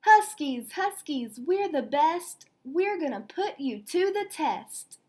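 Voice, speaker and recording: A woman's voice: sits high, expressive, slow pace, a little mellow, pitched above the performer's own voice. A woman voicing an eager young girl soccer player in a storybook, urgently cheering and chanting to rally her team.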